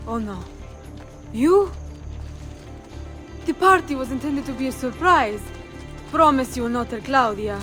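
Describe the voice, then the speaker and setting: A woman's voice: high-pitched, animated, measured pace, long pauses, fairly bright tone, faint music behind. Coy, flirtatious Italian townswoman. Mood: embarrassed. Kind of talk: video game cutscene acting.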